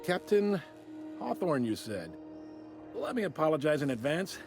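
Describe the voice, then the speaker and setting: Droll, high-pitched, swooping folksy customs man. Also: sing-song, unhurried, a little mellow, soft background music. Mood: apologetic, official. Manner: drawling, droll, casually apologetic. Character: wry, folksy male space-station customs officer. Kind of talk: video game character acting.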